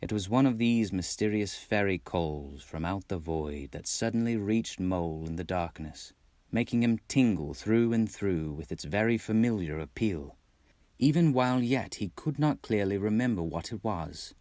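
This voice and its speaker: A man from Texas reading a storybook in a dramatic, measured way. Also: on the low side, expressive, dark tone, no echo. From Texas, United States. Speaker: amateur audiobook narrator. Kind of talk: expressive scripted storybook narration.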